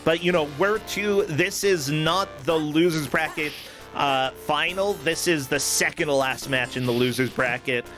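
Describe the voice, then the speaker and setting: A man's voice: on the high side, measured pace. A man giving enthusiastic, conversational live commentary on a gaming tournament. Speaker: esports commentator. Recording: game music behind the voice.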